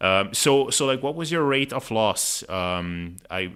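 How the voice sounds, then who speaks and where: A man's voice: on the low side, animated, a little slow, fairly bright tone, clear, resonant tone. A man hosting a casual interview in an informal, chatty way full of filler words. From Hungary. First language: Hungarian. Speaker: podcast host.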